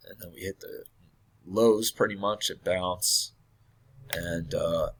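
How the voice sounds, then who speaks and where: A man walking through a trading chart in a casual, hesitant way, hoarse and losing his voice from illness, often clearing his throat and correcting himself. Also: sits low, steady tone, takes it slowly. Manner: thinking aloud, hesitant with many fillers and self-corrections. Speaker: trading analyst.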